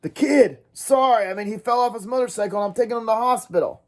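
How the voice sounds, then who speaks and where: A man's voice: high voice, fast, voice pushed up. A man voicing a brash, hotheaded teenage tough. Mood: urgent tough. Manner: urgent, brash, sneering drawl.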